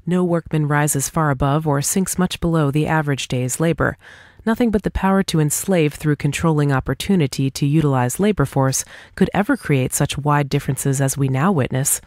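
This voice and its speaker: A woman's voice: low-pitched, moderate pace, darkish tone, no room sound, studio quality. A woman reading a scripted dialogue aloud as for an audiobook, in a formal, argumentative manner. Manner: formal, argumentative, rhetorical questioning. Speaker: audiobook reader.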